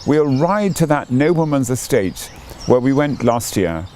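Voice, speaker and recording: A man's voice: mid-range voice, expressive, unhurried, darkish tone, slight background noise, natural voice. A man reading the part of a curious, adventurous little boy in a storybook, eager and plain-spoken.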